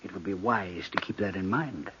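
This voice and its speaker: An actor playing a cunning living shadow posing as a prince in an old-time radio drama, suave and courtly, with theatrical menace. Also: male voice, sits low, moderate pace, sharp tone, slight background noise. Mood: silky menace. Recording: dull vintage radio recording.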